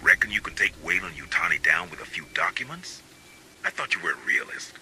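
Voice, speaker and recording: An actor playing a dry, sardonic soldier advising marines in a video game. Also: male voice, low-pitched, expressive, fairly quick, noisy background. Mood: sardonic, measured. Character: wry, battle-ready male military operative advising marines. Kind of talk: video game military dialogue.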